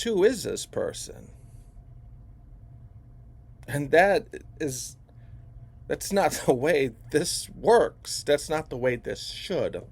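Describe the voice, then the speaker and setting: A man from Chicago giving an emphatic, profane opinion rant that swings between hushed and loud. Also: medium pitch, creaky. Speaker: vlogger.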